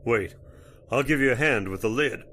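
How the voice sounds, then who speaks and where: A man acting a folksy, genial, steady older judge in an old-time radio horror play, speaking with deliberate politeness. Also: mid-range voice, slight background noise, close to an everyday voice. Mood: grave.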